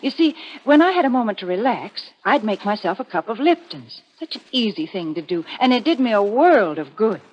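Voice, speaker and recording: A woman's voice: on the high side, very animated, brisk, hardly any pauses. A woman in 1940s radio advertising style, bantering as a homey, mildly scolding spokeswoman. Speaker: commercial spokeswoman. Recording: dull old radio recording.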